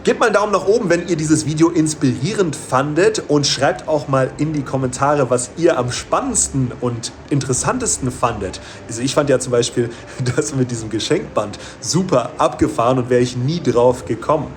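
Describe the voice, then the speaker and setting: A man's voice: medium pitch, moderate pace. A man speaking casually to viewers as a channel host. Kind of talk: casual video outro to viewers. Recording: faint ambient background noise.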